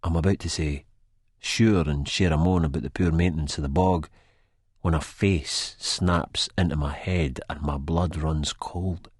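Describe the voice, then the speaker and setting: An actor playing an anxious Scottish man in a first-person audiobook, with a deep, husky voice. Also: steady tone, measured pace, no room sound, clean studio sound, exaggerated voice. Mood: panicked dread. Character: anxious Scottish man narrating in sheer terror of an old enemy.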